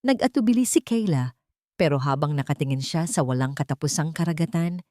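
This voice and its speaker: Woman storyteller, lowish voice, swooping intonation, dramatic audiobook narration, expressive phrasing. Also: a little fast, dry recording, clean studio sound.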